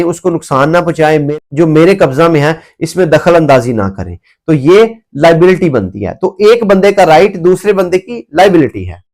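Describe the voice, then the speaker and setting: High-voiced Pakistani man lecturing. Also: darkish tone. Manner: mixes in English legal terms; methodical, repeats and rephrases points. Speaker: law lecturer. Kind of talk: explanatory lecture with examples.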